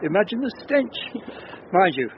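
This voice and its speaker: A man's voice: high-pitched, sing-song, moderate pace. An actor playing an older British father in a radio drama, chatty and dryly humorous. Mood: wry. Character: wry, lonely older father, fond of daughter. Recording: dull radio sound, faint noise.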